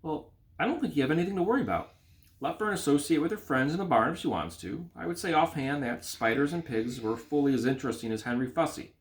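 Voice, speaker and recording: A man's voice: a little high, lively intonation, fast, voice pushed up. A man voicing a thoughtful, unworried village doctor in a storybook, musing and reassuring.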